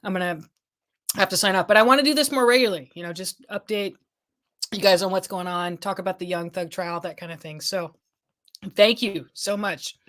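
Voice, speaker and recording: A woman hosting a casual legal news livestream, rambling with restarts and fillers as she reads viewer comments, her voice going hoarse. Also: medium pitch, brisk, a bit bright. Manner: rambling, many restarts and fillers, thinking aloud. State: losing her voice.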